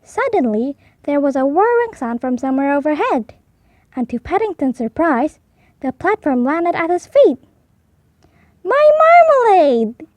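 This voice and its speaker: A woman reads a picture book aloud for young children in a high voice. Her narration is expressive and highly animated, and the recording is close and dry. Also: moderate pace, dry recording. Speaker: storybook reader.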